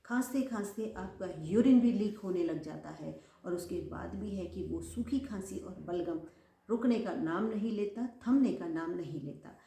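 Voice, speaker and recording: A woman's voice: fairly low pitch, warm tone, reverberant. Chatty woman giving home remedy tips. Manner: chatty, repeats phrases for emphasis, addresses viewers as friends. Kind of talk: casual instructional talk to camera.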